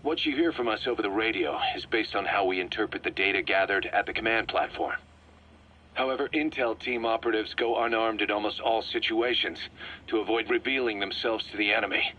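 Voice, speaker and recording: Stern, sharp-edged military commander on tape. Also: male voice, fairly low pitch, brisk, dull audio. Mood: brisk, instructive. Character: embittered, vengeful male military commander, wounded veteran. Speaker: military commander briefing his boss. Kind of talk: acted radio-style briefing in a video game. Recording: band-limited radio tape, faint noise.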